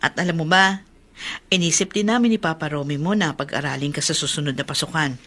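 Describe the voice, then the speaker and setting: A woman with a Filipino accent playing a caring, gentle adoptive mother in a radio drama. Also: sits low, fast talker.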